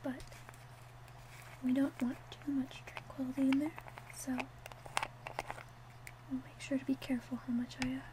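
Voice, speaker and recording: A woman playing a gentle, attentive witch in a soft, unhurried whispered ASMR roleplay. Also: high voice, level tone, noisy background, lightly acted. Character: gentle, attentive witch mixing love potions.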